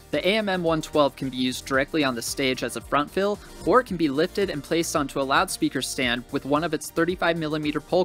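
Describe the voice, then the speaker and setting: A man reading scripted instructional narration for a training video in a measured, clearly enunciated, formal way. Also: fairly high pitch, fairly bright tone. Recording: music bed under voice-over. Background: background music.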